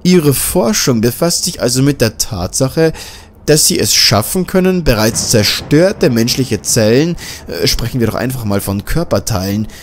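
A man's voice: mid-range voice, expressive, normal speed, a little hiss or hum, close to an everyday voice. A man voicing a curious male journalist in a self-made audiobook, asking probing questions with slight hesitations. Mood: questioning. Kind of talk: audiobook character voice.

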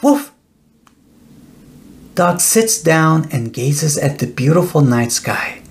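A middle-aged man narrating a children's bedtime story in a deliberate, expressive storytelling way. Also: fairly high pitch, vocal fry. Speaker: author reading own children's book.

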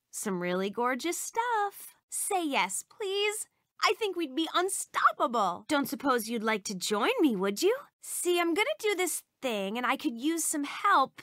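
An actress voicing an eager, awkward, bubbly young princess in a video game, with a perky, rambling, self-conscious manner. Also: female voice, sits high, a bit bright, dry recording, studio quality, heavily acted. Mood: coaxing. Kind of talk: animated video game character voice clips.

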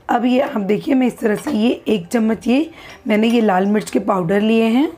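Mellow-voiced woman walking through a recipe. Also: medium pitch, a little hiss or hum. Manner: unhurried, repetitive instructional talk. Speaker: cooking channel host. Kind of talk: step-by-step cooking demonstration. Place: home kitchen at a gas stove.

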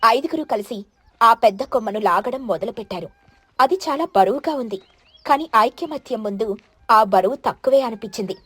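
Woman storyteller, scripted children's fable narration, fairly high pitch, dry close sound. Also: expressive, moderate pace, a bit bright, breathy edge, dry recording.

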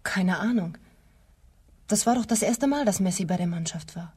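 A married woman with something to hide in a crime radio play. Her voice is dark, soft-edged and husky, at an ordinary pitch. She answers in a guarded, evasive way, anxious and on the defensive. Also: normal speed.

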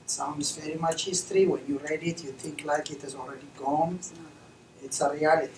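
A middle-aged man from Ethiopia, a veterinarian, giving measured, hesitant comments as a discussant at an academic conference. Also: on the high side, steady tone, far from the mic. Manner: measured, hesitant, many fillers. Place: lecture room. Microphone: distant camera mic. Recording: echoey room recorded from afar, noisy.

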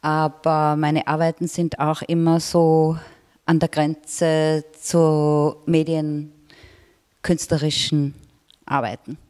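Low, slow-speaking woman composer. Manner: slow, relaxed. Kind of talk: talking about her artwork. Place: gallery room. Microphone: handheld mic.

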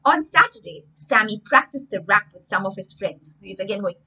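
Bright-voiced young woman teaching by storytelling. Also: medium pitch, lively intonation, normal speed, slightly rough, low-quality recording. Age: young adult. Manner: theatrical, emphatic, explains words with synonyms. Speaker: children's English teacher and storyteller. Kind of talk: livestream storytelling lesson with vocabulary asides. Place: indoor room with bookshelves.

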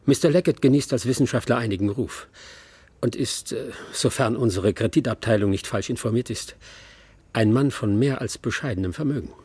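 Höflicher Geschäftsmann, belegte Stimme. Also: male voice, medium pitch, a little fast, darkish tone, slightly rough. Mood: assured. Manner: formal, courteous. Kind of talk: German radio play acting.

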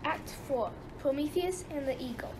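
A woman's voice: high-pitched, moderate pace. A woman narrating a radio play in scripted storytelling style. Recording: noisy amateur recording.

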